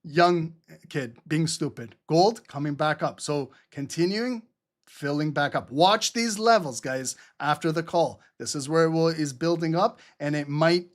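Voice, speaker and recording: Dude rambling thru a live trading webinar, kinda high voice, lots of ums, pokes fun at himself. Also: male voice, unhurried. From Albanian heritage. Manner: rambling, chatty, self-mocking, many fillers.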